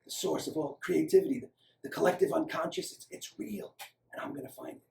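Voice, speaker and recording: A man voicing an obsessive, excitable young man making a video diary in a story, halting and breathless with zeal. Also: a little high, creaky. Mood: fervent. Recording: roomy, echoing, distant microphone.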